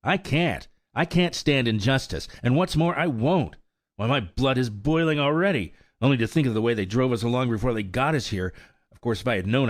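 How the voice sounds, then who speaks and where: A hot-headed young colt in a storybook tale, voiced by a man reading aloud. He talks in high, indignant outbursts that climb into shouts. The voice is recorded close and dry. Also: no echo. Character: impetuous young male colt, hot-tempered and defiant.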